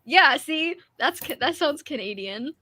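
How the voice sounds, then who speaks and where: A woman from Texas trades teasing banter while co-hosting a book club livestream. Her voice is high and bouncy, and she talks at an unhurried pace. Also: animated, bright, forceful tone. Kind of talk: casual chat on a livestream.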